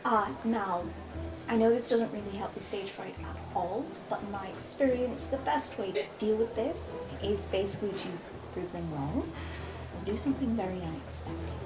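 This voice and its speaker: A woman's voice: medium pitch, moderate pace, over music, low-quality recording. A woman player talking in character at a tabletop game, chatty and full of hesitations. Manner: chatty, hesitant with many fillers. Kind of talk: casual in-character roleplay.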